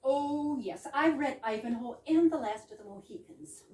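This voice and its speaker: High-voiced, cheeky young woman played on stage. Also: lively intonation, normal speed, a little mellow. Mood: flippant. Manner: saucy, breezy, playful. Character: flippant, cheeky young woman chatting from her bath. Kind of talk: amateur readers theater of a 1920s comic play. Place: indoor readers theater stage, distant microphone. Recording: roomy distant stage recording, faint noise.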